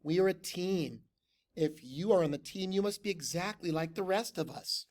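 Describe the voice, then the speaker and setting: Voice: mid-range voice, moderate pace, a little mellow, natural voice. A storyteller voicing a group of prim, orderly penguin teammates who speak patiently and properly.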